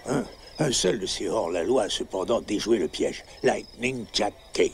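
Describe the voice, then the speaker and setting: Un habitant complaisant qui lit la gazette : voix grave, débit simple, léger bruit de fond. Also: male voice, on the low side, moderate pace, creaky, slight background noise. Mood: reading. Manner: plain, compliant reading aloud. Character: obliging townsman reading the newspaper aloud. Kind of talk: French film dub.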